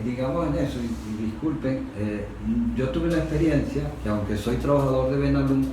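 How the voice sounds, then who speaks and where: A man's voice: medium pitch, mellow. Venezuelan man in a very echoey room. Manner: halting, explanatory, repeats phrases for emphasis. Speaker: industrial worker giving testimony. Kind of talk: unscripted panel discussion. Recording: very echoey room, distant mic, faint noise.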